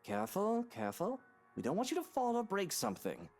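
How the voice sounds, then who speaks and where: An actress voicing a solicitous, take-charge character in a visual novel. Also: female voice, mid-range voice, huge pitch swings, brisk, darkish tone, faint music behind. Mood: caring, gentle.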